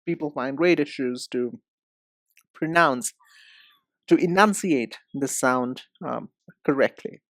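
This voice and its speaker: A man with an Indian accent giving an instructional English pronunciation lesson, speaking in a deliberate, carefully enunciated way. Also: mid-range voice, fairly bright tone, no echo, studio quality. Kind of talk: instructional lesson with repeat-after-me drills.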